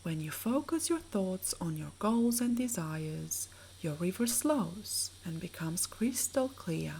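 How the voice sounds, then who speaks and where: A woman with a soft, breathy voice narrating a scripted guided sleep meditation in a soothing, measured, gently instructing way. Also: on the low side, very animated, slight background noise, poor audio.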